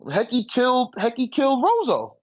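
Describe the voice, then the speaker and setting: A man's voice: extremely high pitch, wildly swooping pitch, normal speed, husky, no echo. A man arguing his point in an informal, slangy way, often checking that the listener follows, as in a casual debate. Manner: repeatedly checks for agreement. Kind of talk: casual debate about a manga ranking.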